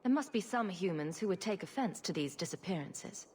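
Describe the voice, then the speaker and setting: A woman's voice: on the low side, animated, a little fast, darkish tone, slightly rough. A woman playing a bold, sardonic and righteous adventurer in a fantasy video game, dry and confident. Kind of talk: dramatic video game acting.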